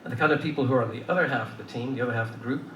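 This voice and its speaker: A man's voice: medium pitch, very fast. A middle-aged man with an Irish accent, speaking to an audience in a loose, anecdotal way with many fillers. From Ireland. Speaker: panel speaker. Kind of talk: conversational talk to an audience. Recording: roomy far-off hall recording with noise.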